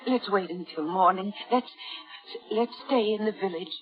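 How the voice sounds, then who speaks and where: An actress playing a timid, lovestruck spinster of sixty in a vintage radio drama, hesitant and dramatic. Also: female voice, medium pitch, moderate pace, natural voice. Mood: frightened, pleading. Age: 60s. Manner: breathless, hesitant, dramatic diary narration. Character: timid, lovestruck, naive sixty-year-old spinster keeping a diary. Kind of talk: 1950s radio drama acting. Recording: muffled vintage radio broadcast with music.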